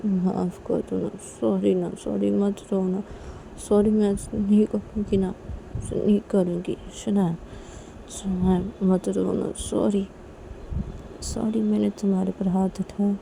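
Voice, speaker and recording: A woman voicing a remorseful girl who softly begs her friend's forgiveness. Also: mid-range voice, little pitch movement, measured pace, creaky, slight background noise, voice pushed up, low-quality recording. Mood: pleading. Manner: pleading, soft and coaxing.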